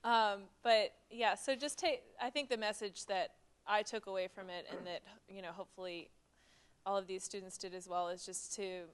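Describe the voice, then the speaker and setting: A woman's voice: mid-range voice, steady tone, moderate pace, clear, resonant tone. A woman leading a panel discussion, upbeat and encouraging, laughing often.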